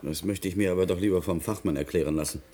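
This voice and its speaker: An actor playing a formal, sceptical investigator in a German radio play, with a dry, probing way of talking. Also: male voice, deep voice, quick pace, warm tone. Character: sceptical, formal male investigator questioning officials.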